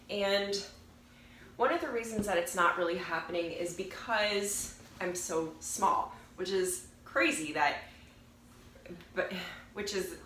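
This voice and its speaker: A woman's voice: mid-range voice, bright, forceful tone. Congested, nasal young woman vlogging. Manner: chatty, rambling, self-correcting. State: getting over a cold. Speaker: fitness vlogger. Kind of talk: casual chat to camera. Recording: roomy echo, faint noise.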